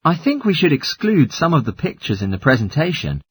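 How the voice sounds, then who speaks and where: A man's voice: a little high, very animated, a little fast, husky. A man playing an agreeable geography student in a scripted listening-test study dialogue. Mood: agreeable, reasoning. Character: male geography student, agreeable, a little nervous.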